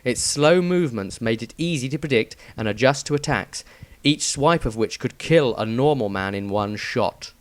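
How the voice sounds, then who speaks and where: A man's voice: medium pitch, lively intonation, normal speed, few pauses. A man reading scripted fantasy adventure narration as a dramatic storyteller.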